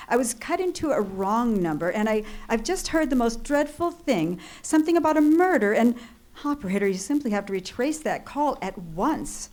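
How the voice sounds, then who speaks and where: A woman's voice: fairly high pitch, big pitch swings, normal speed, a little mellow. An actress playing a fretful, demanding woman on the telephone in an old-time radio thriller, agitated and insistent. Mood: alarmed. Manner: agitated, insistent, theatrical, stammering with alarm. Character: fretful, demanding, highly strung telephone caller in distress.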